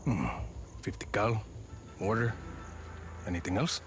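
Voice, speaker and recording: An actor playing a serious, brooding action hero in a video game, with a Spanish accent and clipped, terse delivery. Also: male voice, on the low side, measured pace, close to an everyday voice. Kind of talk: video game cutscene acting. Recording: music behind the voice.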